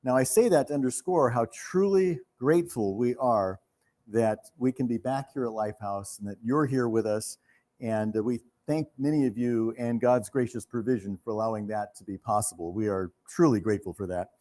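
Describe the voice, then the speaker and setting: A man giving a live thank-you speech as a company leader, earnest and measured, with pauses. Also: mid-range voice, mellow. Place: theater, live before an audience.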